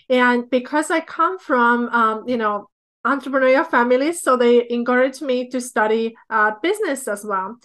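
A woman from Estonia, speaking English with an Estonian accent, talks through her own story on a podcast. Her voice sits high, and she keeps a loose, easygoing storytelling flow as a guest. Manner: storytelling, relaxed with light laughs. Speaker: business coach, guest. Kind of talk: casual podcast interview.

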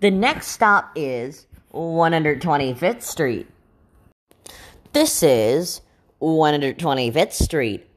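Slow, melodic woman making train announcements. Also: low-pitched, animated. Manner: formal, measured, list items set apart by pauses. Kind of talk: scripted transit announcements.

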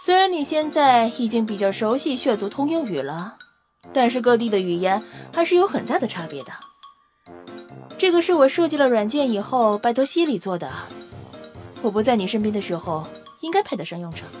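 Woman playing a lively, teasing female scientist. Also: fairly high pitch, a little fast, soft background music. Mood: proud, explaining. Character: confident teasing woman scientist, brisk and matter-of-fact. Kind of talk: Chinese audio drama acting.

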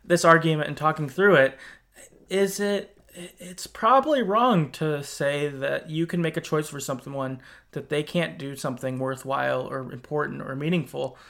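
A man hosting a podcast, casually talking through a video game in an informal, halting way, thinking aloud as he goes. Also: a little high, creaky, a bit bright. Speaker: podcast host. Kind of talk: casual conversational game discussion.

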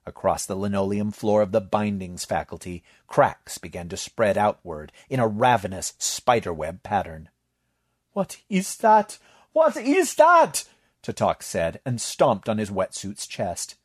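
A man reading fiction aloud as narrator, with expressive storytelling. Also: low voice, moderate pace, few pauses, no echo, studio quality.

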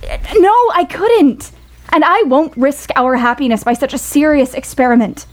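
A woman playing an earnest, independent young woman in a period audio drama, with a halting, pleading delivery. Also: sits high, animated. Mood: earnest, reassuring. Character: earnest, plain-spoken, independent young woman refusing a suitor.

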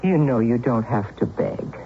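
An actress playing a kindly, fretful older aunt in a 1970s radio drama. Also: female voice, a bass voice, measured pace. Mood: gentle. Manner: warm, fretful, animated. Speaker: supporting character. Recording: tinny radio broadcast with faint hiss.

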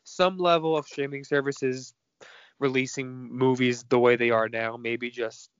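A man's voice: mid-range voice, steady tone, a little slow, clean tone, dry recording, lo-fi sound. Stuffy-nosed man chatting about movies. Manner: chatty, frank, laughs between points. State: stuffy nose. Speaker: podcast co-host. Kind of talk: casual movie news chat.

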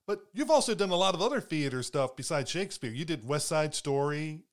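A man's voice: a little high, lively intonation, fairly quick. A man hosting an interview in casual chat, rambling and often restarting his words. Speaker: interviewer host.

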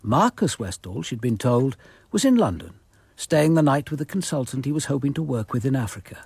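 An authoritative police commander in a radio drama. His husky voice moves with lively intonation in a very dry recording. He is measured and formal, courteous but firm. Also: mid-range voice, dry recording, exaggerated voice. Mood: matter-of-fact. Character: composed, authoritative male police commander questioning suspects. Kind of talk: radio drama acting and first-person narration.

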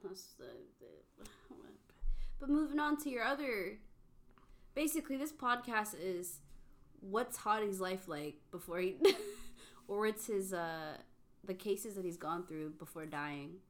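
A woman's voice: medium pitch, a little slow, a bit bright. A woman co-hosting a casual podcast. She laughs often and trips over her words as she explains things. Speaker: podcast co-host.

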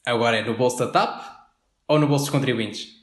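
A man's voice: medium pitch, fairly quick, echoey room. A man with a European Portuguese accent, co-hosting a comic podcast in mock tour-guide patter. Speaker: podcast co-host. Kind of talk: scripted comic podcast segment.

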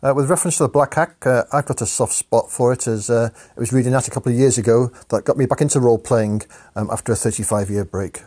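A man's voice: medium pitch, quick pace, few pauses, mellow, slightly rough. A man leaving a hesitant voice message full of fillers for a podcast. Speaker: caller. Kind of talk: recorded voice message.

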